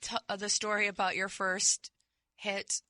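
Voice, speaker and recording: A woman's voice: medium pitch, flat delivery, a little slow, sharp tone, no room sound. A woman co-hosting a radio show with her husband, chatting casually with dry, self-deprecating humor. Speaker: co-host, host's wife. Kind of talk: casual on-air chat. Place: radio studio with cameras. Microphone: studio microphone.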